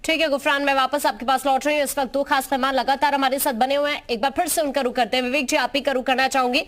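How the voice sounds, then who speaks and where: A woman anchors a live television debate. Her voice is high-pitched as she hands over between guests and puts questions to them. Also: little pitch movement, quick pace, a bit bright. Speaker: news anchor.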